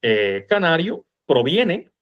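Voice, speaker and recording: Emphatic Cuban man, higher-pitched voice. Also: sing-song, slow pace, dull audio. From Cuba. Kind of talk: animated informal history discussion.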